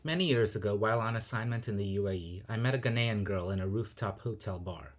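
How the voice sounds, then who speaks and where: A man raised in Nigeria and the United States reading his own reflective personal essay in a measured, earnest manner. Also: lowish voice. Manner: measured, earnest, thoughtful delivery.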